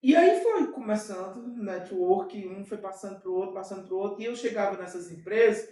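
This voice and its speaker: A Brazilian man speaks as an interview guest, lively and full of quick asides. His voice sounds set back from the mic in a very echoey room. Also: sits high, distant mic. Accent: Brazilian Portuguese. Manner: animated, with frequent fillers and quick asides. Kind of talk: casual storytelling in an interview.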